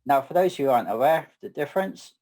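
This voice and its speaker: A middle-aged man with a West Country English accent, co-presenting a technical session in a measured, slightly wry way. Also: a little high, animated, a little mellow. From southwest England. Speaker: conference co-presenter. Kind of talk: scripted tech presentation.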